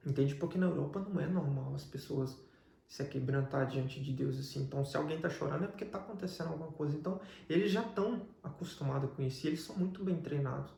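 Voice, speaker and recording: A man's voice: on the high side, quick pace, gravelly, warm tone, slight background noise. A man with a Brazilian Portuguese accent tells a personal religious testimony as a guest, in conversational storytelling. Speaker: guest telling his life story. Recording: echoey, reverberant room.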